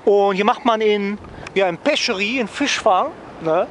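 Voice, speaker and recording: Male travel vlogger, raised pitch, wry off-the-cuff chat, recorded outdoors with faint background noise. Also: unhurried, fairly bright tone. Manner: wry, joking, often ends phrases with a questioning "ne?". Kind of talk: casual, rambling vlog chat to viewers.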